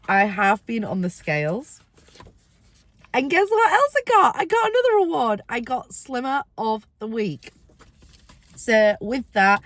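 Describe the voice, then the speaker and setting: Woman vlogger, under the weather with a squeaky voice, casual and chatty, bursts of excitement. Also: a little hiss or hum. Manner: chatty, excitable, rambling asides. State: ill, squeaky voice. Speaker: weight-loss vlogger. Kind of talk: casual vlog to camera.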